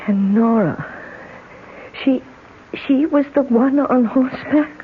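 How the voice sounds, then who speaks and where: A woman's voice: high voice, takes it slowly, slight background noise. A woman playing a fragile, horrified young woman in a 1970s radio drama, on a muffled old broadcast.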